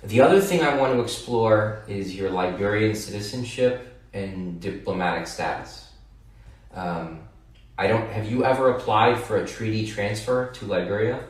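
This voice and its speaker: Man, low voice, matter-of-fact lawyer, far off, heavy echo. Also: normal speed. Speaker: attorney. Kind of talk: phone consultation with a client. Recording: distant, echoey phone audio.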